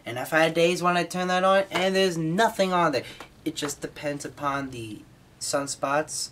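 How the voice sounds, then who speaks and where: An American man giving an informal, explanatory hobbyist talk, somewhat halting, with repeated words as he explains. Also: a little high, a bit bright, a little hiss or hum. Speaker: hobbyist presenter.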